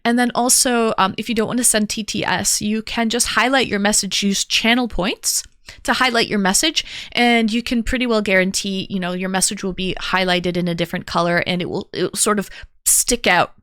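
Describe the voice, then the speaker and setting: A woman's voice: mid-range voice, steady tone, brisk, fairly bright tone, no echo, clean studio sound. A talkative woman streaming live, chatting casually and greeting viewers one by one in an upbeat way. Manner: talkative, calls herself a yapper.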